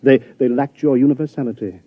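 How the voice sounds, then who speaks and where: A man's voice: mid-range voice, brisk, no echo, natural voice. A man playing a pompous future art scholar. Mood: grandiose. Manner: grandiose, fussy, gushing. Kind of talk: 1950s radio drama acting. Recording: muffled old radio broadcast.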